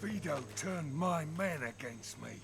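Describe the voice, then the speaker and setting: An actor playing a bitter, ruthless older mercenary in a video game, gruff and blunt, with a gravelly voice. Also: male voice, fairly high pitch, expressive, unhurried, a bit bright, full character voice. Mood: seething. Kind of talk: cinematic video game dialogue. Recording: game music behind the voice.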